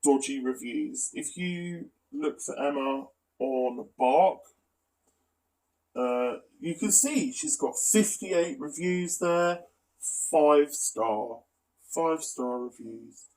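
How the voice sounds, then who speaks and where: A man's voice: on the high side, takes it slowly, darkish tone, rough recording. A man giving a critical, matter-of-fact spoken commentary, presenting evidence as in an online exposé.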